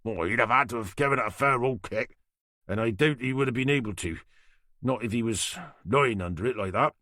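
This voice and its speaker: A man's voice: medium pitch, a little fast, sharp tone, no room sound, clean studio sound, voice pushed up, natural voice. A man doing an older Norfolk boatyard hand. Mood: respectful. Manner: deferential, says "sir" often. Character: gruff older male boatyard worker.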